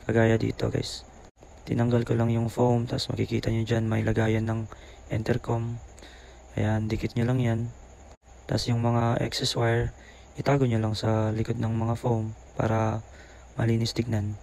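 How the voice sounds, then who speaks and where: A Filipino man narrates a casual review in a subdued, gravelly voice with fairly even intonation. He keeps his volume down, and a faint noise sits behind him. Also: mid-range voice, a little slow, pauses often, low-quality recording. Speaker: product reviewer. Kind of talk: casual voice-over review mixing Tagalog and English. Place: boarding house room, voice-over.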